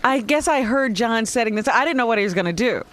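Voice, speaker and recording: A woman's voice: on the high side, lively intonation, fast talker, fairly bright tone. A woman chatting casually as a radio co-host.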